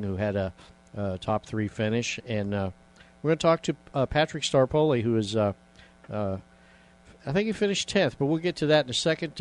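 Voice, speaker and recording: A man hosting a casual radio talk show, rambling with many fillers and restarts. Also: medium pitch.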